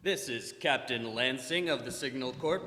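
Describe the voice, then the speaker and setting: In a reverberant hall, a man acts out an army captain giving a battlefield report. The male voice sits at a medium pitch and plays to the room in the manner of live radio drama. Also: normal speed, pushed and bright, slight background noise, close to an everyday voice. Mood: confident, dismissive. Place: live performance in a church. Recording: echoey church hall live performance.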